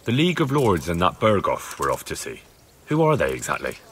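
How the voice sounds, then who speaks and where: A man's voice: low voice, wildly swooping pitch, fairly quick, a little hiss or hum. A young man playing an earnest, respectful medieval son in a video game, plain-spoken and inquiring. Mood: puzzled. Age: young adult. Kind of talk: video game dialogue acting.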